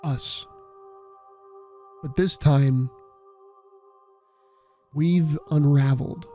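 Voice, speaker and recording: A man's voice: on the high side, fairly even intonation, very slow, dark tone, faint music behind. A man narrating a video essay in a casual, reflective way, with the occasional swear.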